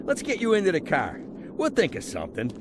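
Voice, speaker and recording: Over-polite, high-pitched small-town crook. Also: male voice, wildly swooping pitch, fairly quick, noisy background. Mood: oily politeness. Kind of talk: dramatic video game cutscene acting.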